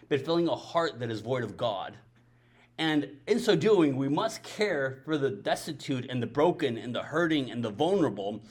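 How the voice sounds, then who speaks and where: Mexican man, Spanish native, mid-pitched, earnest pulpit delivery with repeats for weight. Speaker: missions ministry speaker. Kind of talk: sermon-style ministry talk.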